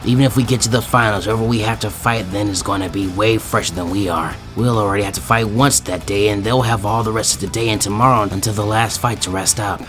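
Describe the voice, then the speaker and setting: A man voicing a weary teenage boy ninja who grumbles and mutters low under his breath. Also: fairly even intonation, fast, few pauses, a bit bright, faint music behind, natural voice. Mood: irritated.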